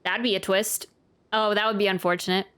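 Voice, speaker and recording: A woman's voice: mid-range voice, fairly even intonation, fairly quick, fairly bright tone, smooth. A young woman chatting playfully and expressively as she reacts to a video game. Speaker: reaction video host, gamer. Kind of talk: casual gameplay reaction, some reading aloud of dialogue. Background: game music.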